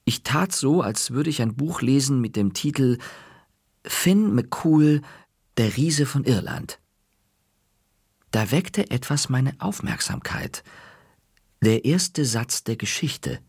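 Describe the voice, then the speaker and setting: Male audiobook narrator, husky voice, dry close studio sound. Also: mid-range voice, no echo. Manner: lively storytelling. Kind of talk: first-person children's book reading.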